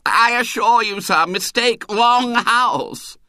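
A man voicing a fussy, flustered gentleman leading carol singers, with a shrill cry. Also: unhurried, no room sound.

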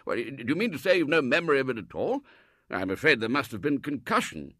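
Brief: man playing a loud, effusive old Colonel, lively swooping voice, high and bright. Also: fast, no echo, clean studio sound. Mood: gushing. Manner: booming, gushing, stammering at times. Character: blustering elderly male Colonel, loud and effusive. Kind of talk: storybook reading.

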